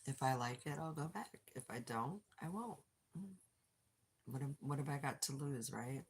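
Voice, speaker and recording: An older woman with a low, husky voice chatting casually with viewers on a phone livestream. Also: moderate pace, slight background noise. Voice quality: low, gravelly voice. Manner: chatty, reads and answers chat aloud. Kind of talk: casual live chat with viewers. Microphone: phone without external mic.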